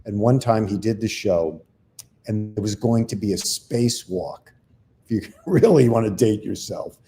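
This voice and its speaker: Older man with a low, mellow voice. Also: moderate pace, a little hiss or hum. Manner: rambling anecdotal storyteller, self-deprecating. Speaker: comedian co-host. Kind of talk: casual chat and storytelling.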